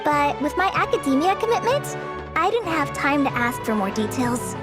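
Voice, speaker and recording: A woman voicing a modest, friendly young female forest ranger with soft nervous laughs in a game dub. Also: high-pitched, big pitch swings, normal speed. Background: game music.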